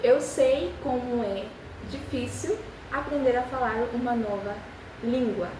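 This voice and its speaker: A young woman who is a native Spanish speaker, speaking Portuguese with a Spanish accent and teaching beginners with an encouraging manner, repeating phrases slowly for practice. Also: on the high side, echoey room, distant mic, noisy background. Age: young adult. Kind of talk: beginner language lesson.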